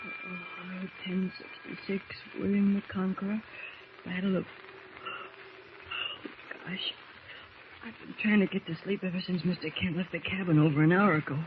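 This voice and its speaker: Wholesome plucky lad, high-pitched, vintage radio serial with clear background noise. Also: male voice, level tone, measured pace, long pauses. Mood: anxious, plucky. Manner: wholesome, breathless exclamations. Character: eager, earnest teenage boy, plucky cub adventurer. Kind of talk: 1940s radio drama acting. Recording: dull, noisy old radio recording.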